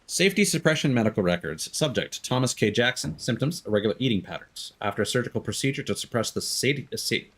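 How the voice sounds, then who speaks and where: A man's voice: medium pitch, lively intonation, fast, hardly any pauses, a bit bright. A man doing live video game commentary, reading game text aloud and tossing in playful quips and wordplay. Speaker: streamer playing a video game.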